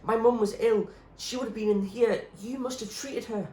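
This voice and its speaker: Man voicing an anxious, insistent young girl, high-pitched. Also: steady tone, moderate pace, warm tone, lightly acted. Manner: pleading, urgent, stubborn. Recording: echoey room with clear background noise.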